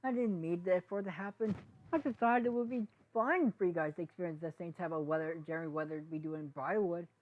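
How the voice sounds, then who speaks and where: A woman voicing an apologetic, anxious young female unicorn in a storybook reading, with halting, pleading delivery. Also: lowish voice, lightly acted. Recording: dull, lo-fi sound.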